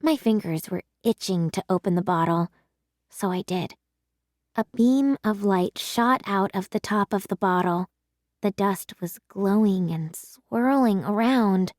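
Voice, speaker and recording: Unhurried woman reading a children's story aloud. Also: medium pitch, darkish tone, dry recording, studio quality. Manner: measured, dramatic storytelling. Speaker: children's audiobook reader.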